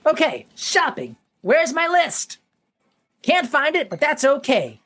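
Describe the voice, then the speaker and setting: A woman voicing an excitable, childish young male monkey in a children's cartoon, giddy and whiny. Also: high voice, slow, heavily acted. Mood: chattering. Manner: giddy, whiny, easily distracted.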